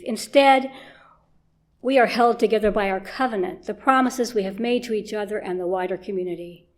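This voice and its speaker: A woman leading a church service, reading a formal scripted welcome to the congregation. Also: fairly high pitch. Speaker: worship leader.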